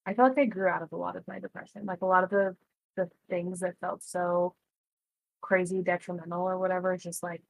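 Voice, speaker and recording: A woman's voice: lowish voice, flat delivery, fairly quick, gravelly, dry recording, rough recording. A woman in her early thirties co-hosting a podcast, talking earnestly and reflectively in casual chat. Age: 30s. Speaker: podcast co-host.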